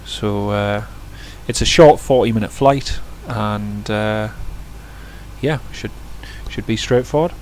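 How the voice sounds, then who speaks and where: A man's voice: on the low side, slow pace, darkish tone, a little hiss or hum. A man giving casual live-stream commentary over a flight simulator, chatting with viewers and often restarting his sentences. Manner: chatty, answers viewer chat, self-correcting restarts.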